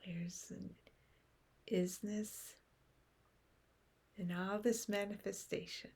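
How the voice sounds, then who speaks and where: A woman leading a guided meditation in a gentle, soothing manner, with phrases that often soften into breath. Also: mid-range voice, little pitch movement, slow, gravelly, husky.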